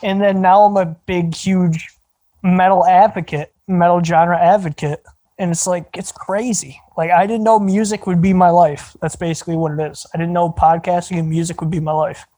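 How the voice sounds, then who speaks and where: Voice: medium pitch, steady tone, normal speed, warm tone. Laid-back young podcast host. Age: 20s. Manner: loose, swearing, laughs often. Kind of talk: casual podcast chat.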